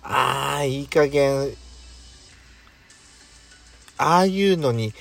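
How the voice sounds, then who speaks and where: A man playing a calm, indulgent boyfriend with a dry, gentle manner in an intimate bedtime audio drama. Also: mid-range voice, extremely slow, gravelly, faint music behind, exaggerated voice. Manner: gentle, dry, masculine wording. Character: gruff but doting male lover, calm and indulgent.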